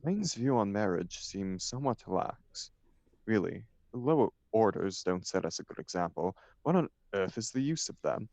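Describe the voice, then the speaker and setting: A man's voice: fairly low pitch, lively intonation, normal speed, creaky, darkish tone, no room sound. A man reading the part of a flippant, idle upper-class bachelor in a stage comedy, with an arch, mock-serious declamatory manner. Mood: breezy, arch.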